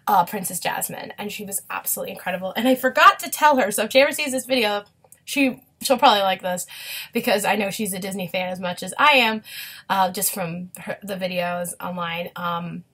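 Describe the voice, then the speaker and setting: A woman's voice: medium pitch, a little fast, sharp tone, slightly rough. A woman in her twenties chatting casually and enthusiastically to her viewers, rambling and gushing like a devoted fan. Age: 20s. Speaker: vlogger showing autographs.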